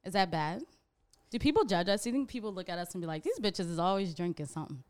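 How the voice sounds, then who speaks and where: A woman's voice: fairly low pitch, fast talker. A woman co-hosting a podcast in casual chat, laughing often. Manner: giggly and animated, laughs often. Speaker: podcast co-host.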